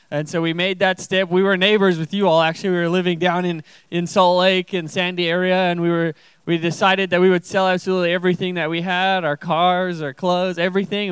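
A man's voice: high-pitched, a bit bright, clean tone, no echo. A young man from Zimbabwe, a missionary guest speaker, giving an informal testimony to a church congregation.

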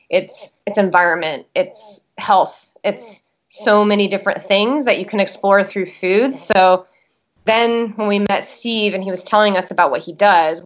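Young American woman, documentary producer being interviewed; chatty, filler-heavy delivery, mid-pitched, slightly dull recording. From United States. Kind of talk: interview answers. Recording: dull lo-fi sound.

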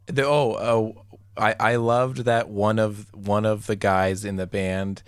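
Male movie podcast co-host, slightly low register, loose conversational delivery, jokey, prone to laughing mid-sentence. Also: little pitch movement, slow, clear, resonant tone, no room sound, clean studio sound. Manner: jokey, laughs often while talking, acts out mock quotes. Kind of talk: casual comedic movie chat.

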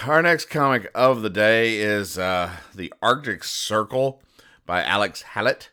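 A man hosting a comedy podcast, reading out comic strips and explaining them in a deadpan, over-literal way. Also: lowish voice, slow pace, smooth. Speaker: podcast host.

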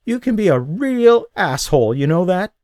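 A man voicing a boisterous, quick-tempered young woman who squeals and swears, in an audiobook. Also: on the high side, very animated, a little mellow, no echo, clean studio sound, pitched above the performer's own voice. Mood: furious. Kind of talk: audiobook character voice.

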